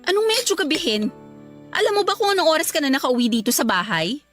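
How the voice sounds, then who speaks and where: A young Filipino wife and mother in a radio drama. Her voice is on the high side and openly emotive, over faint music. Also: quick pace. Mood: angry, reproachful. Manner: emotive, expressive. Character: loving young wife and mother, quick to reproach.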